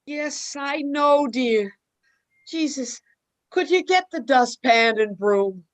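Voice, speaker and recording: A woman playing a doting, credulous mother who speaks gently and soothingly. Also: high voice, lively intonation, takes it slowly.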